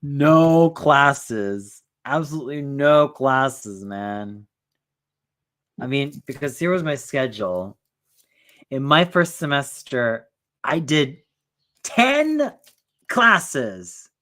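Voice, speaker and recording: A man's voice: mid-range voice, animated, drawn-out words, a bit bright. A young man chats casually as the host of a stream. He rambles and often circles back with fillers before landing on his point. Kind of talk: casual chat.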